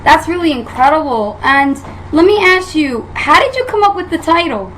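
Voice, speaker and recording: A woman's voice: high voice, sing-song, moderate pace, a bit bright, a little hiss or hum, rough recording. A woman hosting an interview show, enthusiastic and familiar with her guest. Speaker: talk show host.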